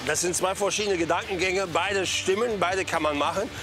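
A man's voice: high-pitched, normal speed. A man chatting casually with his wife, self-mocking and full of hesitation sounds. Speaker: traveling husband. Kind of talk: casual chat. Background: music.

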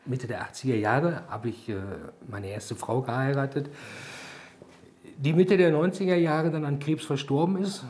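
A man in his sixties speaks in a TV interview alongside his partner. His voice sits at a mid pitch, and he talks calmly and warmly about the two of them. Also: moderate pace, a little hiss or hum. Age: 60s.

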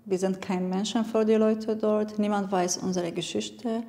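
A woman's voice: medium pitch, little pitch movement, a little slow, mellow, big-room sound. Woman speaking hesitantly. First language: Arabic. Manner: hesitant, searching for words. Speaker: interviewee. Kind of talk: personal interview.

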